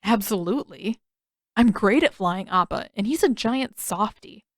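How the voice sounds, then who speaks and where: A woman's voice: medium pitch, expressive, moderate pace, no echo, clean studio sound, lightly acted. A woman voicing an awkward, smitten teenage boy in a storybook reading, with casual, hesitant, blurting speech. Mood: eager, flustered.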